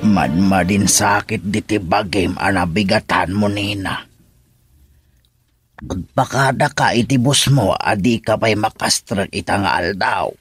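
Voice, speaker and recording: A man's voice: fairly low pitch, measured pace, a bit bright, slightly rough, no echo, soft background music. An actor playing a concerned, firm father talking to his daughter in Ilocano radio drama style, with an Ilocano accent.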